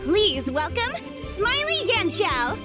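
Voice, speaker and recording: A young female officiator in a video game, high and very animated. She delivers formal, ceremonial announcements with showy flair. Game music plays clearly behind her. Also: slow, heavily acted. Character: bright, formal young woman hosting a cooking contest. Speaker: contest host and officiator.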